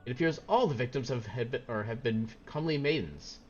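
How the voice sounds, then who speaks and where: A man reading the lines of an earnest fantasy game quest giver, in old-fashioned, measured phrasing over game music. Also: mid-range voice, lo-fi sound, pitched above the performer's own voice, lightly acted. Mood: musing.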